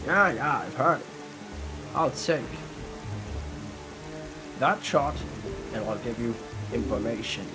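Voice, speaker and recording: A man's voice: medium pitch, lively intonation, takes it slowly, pauses often, loud music behind the voice. A man voicing a cocky fish in a video game, teasing and brusque as he hands out condescending advice.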